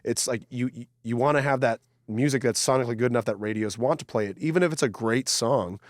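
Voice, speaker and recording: A man's voice: medium pitch, fast talker, no room sound, clean studio sound. A congested man, a podcast co-host, rambling in a casual chat with many stammers and restarts. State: congested from a cold.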